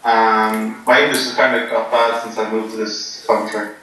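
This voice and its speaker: A man being interviewed before a poker game, joking in a deadpan, rambling way with many ums. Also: lowish voice, moderate pace. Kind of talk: joking casual chat. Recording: echoey room, voice from far off, faint noise.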